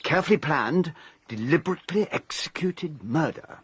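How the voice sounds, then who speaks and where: An urbane gentleman of the 1920s in a radio mystery. He sounds clipped and authoritative, with a very husky, breathy voice and a lively rise and fall. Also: on the high side, normal speed, exaggerated voice. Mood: reasoning. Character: urbane English gentleman, host and narrator. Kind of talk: 1920s-set radio drama.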